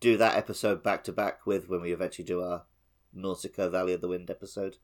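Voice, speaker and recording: A man co-hosting a podcast, chatting casually about a video game and tossing in quick reactions. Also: low-pitched, fairly even intonation. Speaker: podcast co-host.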